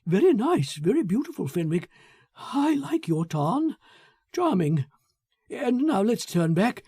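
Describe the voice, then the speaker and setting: Storybook role: male narrator as a fretful, fussy, courteous man with a raised, querulous pitch. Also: very animated, unhurried, warm tone, breathy edge, dry recording, clean studio sound, exaggerated voice. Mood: politely anxious. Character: thin, nervous, fussy man with a cold.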